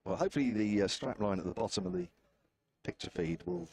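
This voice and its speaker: A man's voice: lowish voice, big pitch swings, fast, creaky, a crowd in the background. A man with a southern English accent doing live cricket commentary, wry and chatty. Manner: chatty, wry, self-deprecating. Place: outdoor commentary position at a cricket ground.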